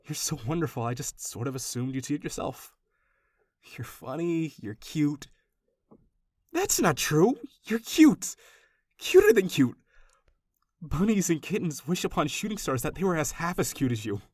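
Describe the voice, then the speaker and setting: A man's voice: fairly high pitch, sing-song, normal speed, pauses often, a little mellow, no room sound, studio quality. A man playing an obsessive, doting young stalker in an audio roleplay, talking straight to the listener in an affectionate, rambling, gushing way. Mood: doting, earnest, then gushing, excited. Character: obsessive, doting young male stalker, awkwardly gentle kidnapper.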